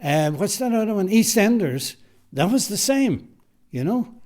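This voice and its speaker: A man's voice: sits high, very animated, a little slow, darkish tone. An Irish man who writes and directs radio drama, answering interview questions casually and emphatically, often repeating his phrases, in a recording studio.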